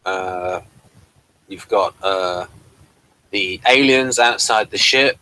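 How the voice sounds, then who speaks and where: A man's voice: low voice, slow pace, bright, forceful tone, no echo, low-quality recording. A man hosting a casual review chat, asking questions and explaining things to his guest. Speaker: host of a review show.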